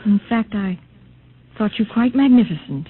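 An actress playing a composed, measured woman scientist in a dystopian 1950s radio drama. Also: mid-range voice, expressive, breathy edge. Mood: softening. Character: composed, cool female scientist of dystopian future. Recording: muffled, noisy old radio broadcast.

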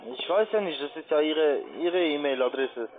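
Casting: male phone scam caller, notably high voice, halting and repetitive, muffled line. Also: slight background noise. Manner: halting, repetitive, non-native grammar. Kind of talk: phone call, pushy questioning.

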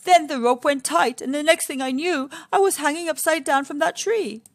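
A woman voicing a sly, hungry male lion who pleads and flatters, in a children's story. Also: sits high, normal speed, dry recording, voice pushed up. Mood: smooth storytelling.

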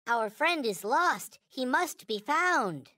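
A man voicing a small, naive, reverent toy space alien in a video game, with a high childlike cartoon voice and solemn, stilted, formal phrasing. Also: very animated, takes it slowly, bright, forceful tone, dry recording, studio quality, exaggerated voice. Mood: worried, pleading. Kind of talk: video game character voice lines.

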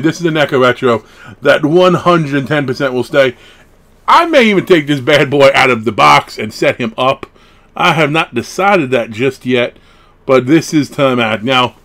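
A man's voice: medium pitch, fairly bright tone. A man in his thirties chatting casually through an unboxing, rambling and breaking off into asides as a hobby vlogger. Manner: rambling, self-interrupting asides. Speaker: horror collectibles vlogger. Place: small wood-panelled room at home, indoors.

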